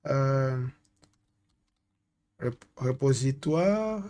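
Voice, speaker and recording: A man from Angola, a native Portuguese speaker with an Angolan accent, works as a data consultant and gives a hesitant screen-share tech tutorial, often pausing to search for French words. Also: mid-range voice, expressive, warm tone.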